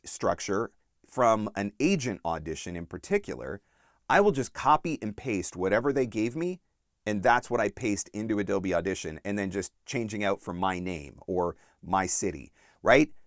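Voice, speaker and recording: A man in his forties giving instructional talk as a coach and host, deliberate and emphatic on key points. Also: on the low side, no room sound, clean studio sound. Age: 40s. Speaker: voice-over coach and channel host.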